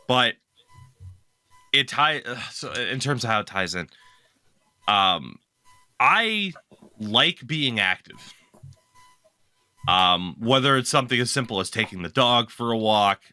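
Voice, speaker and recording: A man's voice: medium pitch, lively intonation, slow pace, pauses often, bright, forceful tone, clean tone, no room sound, soft background music. A young man co-hosting a podcast, chatting casually, rambling and correcting himself as he goes, swearing now and then. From lives in Maine. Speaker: podcast co-host. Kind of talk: casual chat.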